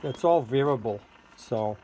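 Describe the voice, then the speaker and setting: A man giving a casual hands-on product demonstration as a seller, halting and thinking aloud while he works the controls. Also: medium pitch, expressive, over loud music. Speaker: seller demonstrating a homemade electronics gadget. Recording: clearly noisy, with electronic tweets and siren-like tones.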